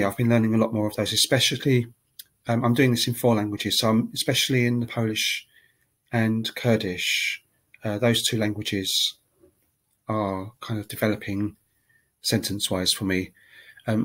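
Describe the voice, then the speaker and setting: A man talking casually to camera as a language-learning vlogger, chatty and relaxed, with frequent ums and restarts as he works through numbered points. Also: lowish voice.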